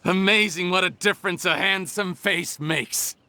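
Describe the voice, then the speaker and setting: A bitter, sarcastic criminal with a bright, sharp voice. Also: male voice, high voice, moderate pace, a little hiss or hum. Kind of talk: video game voice acting.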